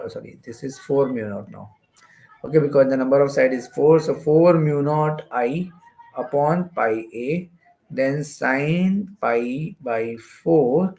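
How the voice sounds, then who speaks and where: A man's voice: mid-range voice, darkish tone, rough recording. Indian-accented man teaching step by step. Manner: step-by-step, repeats phrases. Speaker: physics teacher. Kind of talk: explanatory exam-prep lecture.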